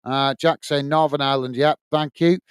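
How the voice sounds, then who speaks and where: A man's voice: fairly high pitch, steady tone, normal speed, clear, resonant tone, dry recording, clean studio sound. A man with a strong Lancashire accent hosting a live football watch-along, calling the play and reading out viewer comments in a chatty way with lots of "uh"s. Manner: chatty, reads out chat messages with filler "uh".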